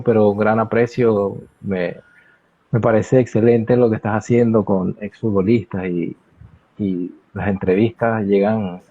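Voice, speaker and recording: A Chilean man with a low, husky voice answers calmly, pausing and repeating himself. His video-call audio is thin and dry, as if heard through a phone. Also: dry recording, narrow, tinny audio. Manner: relaxed, frequent hesitations and repeated words. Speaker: football coach. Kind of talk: interview answers.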